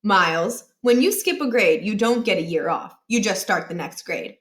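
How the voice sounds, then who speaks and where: A woman voicing a firm, unimpressed mother in a children's storybook, speaking flatly. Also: mid-range voice, moderate pace, reverberant, natural voice. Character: firm, no-nonsense mother of a schoolboy. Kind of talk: children's storybook read-aloud.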